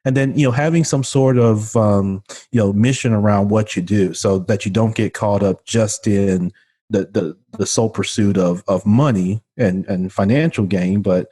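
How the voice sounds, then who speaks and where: A man's voice: fairly low pitch, moderate pace, warm tone. A man, talking earnestly as a podcast guest and leaning on "you know" fillers. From Memphis, Tennessee. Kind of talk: casual interview talk. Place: home room. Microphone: studio condenser mic with pop filter.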